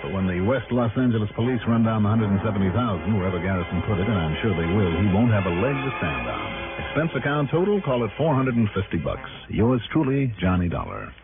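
An actor playing a shrewd, unflappable American insurance investigator with a dry, sardonic delivery in a 1960s radio drama. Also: male voice, lowish voice, expressive, fast talker, few pauses, loud music behind the voice. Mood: dry, assured. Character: shrewd, unflappable male insurance investigator, dryly needling suspects. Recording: tinny 1960s radio broadcast recording.

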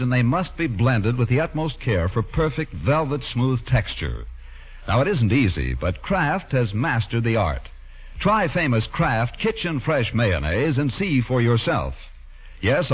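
A man's voice: mid-range voice, husky. A man reading a scripted 1940s radio advertisement as a polished, persuasive commercial announcer. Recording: muffled 1940s radio recording.

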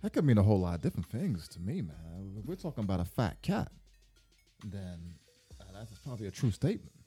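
Deep, husky, laid-back man. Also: sing-song, brisk, dark tone, soft background music. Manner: laid-back, crude joking, slangy. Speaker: podcast co-host. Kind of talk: casual slangy chat. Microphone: podcast microphone, sometimes off-mic.

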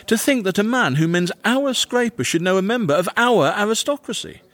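A man playing a fussy, self-important Victorian English gentleman, narrating his comic diary with precise, earnest pomposity. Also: high voice, lively intonation, a little fast, no room sound.

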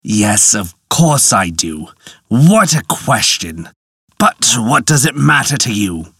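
A man voicing a stern, suspicious male turtle chief who talks slowly and deeply. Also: very animated, a bit bright, slightly rough, dry recording, studio quality, exaggerated voice. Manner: slow, deep and commanding.

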